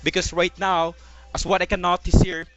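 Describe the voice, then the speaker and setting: A man's voice: high voice, little pitch movement, normal speed, fairly bright tone. A man working as a phone sales agent, coaxing and persuading in slightly broken English on a recorded call. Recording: muffled call recording with music behind.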